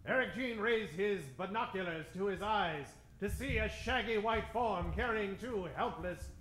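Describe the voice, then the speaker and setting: A man announces an old-time adventure serial with theatrical suspense. His voice is bright and pitched high. It sounds roomy, with faint music behind. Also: measured pace. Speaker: narrator and announcer.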